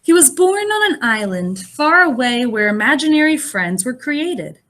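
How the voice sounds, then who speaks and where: A woman reading a picture book aloud as a teacher would to young children, expressive and pausing to explain things in simple words. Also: sits high. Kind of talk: animated children's read-aloud with asides.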